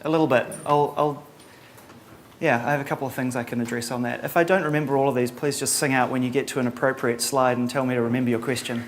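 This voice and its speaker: A man's voice: medium pitch, steady tone, quick pace, a little hiss or hum. Man, New Zealand accent, middle-aged, conference presenter, casual, dry humor. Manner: casual, many ums and uhs, dry humor. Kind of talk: relaxed conference talk.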